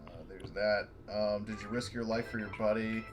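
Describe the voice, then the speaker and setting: A man's voice: on the low side, level tone, normal speed. Guy at the game table reading the xp rules, um-ing a lot, slight background noise. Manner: hesitant, many ums, reading rules aloud. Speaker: player. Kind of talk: casual chat at a game table.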